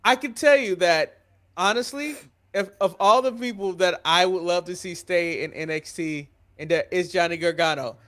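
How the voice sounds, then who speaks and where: High-pitched, emphatic American man. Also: moderate pace, fairly bright tone. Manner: opinionated, emphatic, repeats phrases for emphasis. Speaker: wrestling podcast host. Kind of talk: casual podcast chat.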